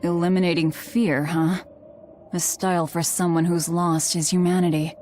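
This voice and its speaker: An actress plays a hardened, sardonic woman in a video game. Her voice is on the low side, dry and terse, with light music behind. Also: little pitch movement, normal speed, darkish tone, lightly acted. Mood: cool, musing. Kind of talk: English video game voice acting.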